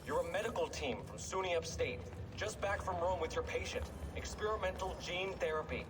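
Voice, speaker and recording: A man playing a brisk, businesslike covert team leader in a video game, matter-of-fact in delivery. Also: high voice, lively intonation. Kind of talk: naturalistic video game acting. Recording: distant, long reverb, clearly noisy ambience.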